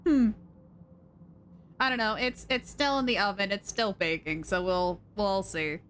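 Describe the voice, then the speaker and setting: A woman streaming a game, chatting casually and rambling as she thinks out loud. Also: mid-range voice, a little slow, a little hiss or hum. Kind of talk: casual live-stream chat.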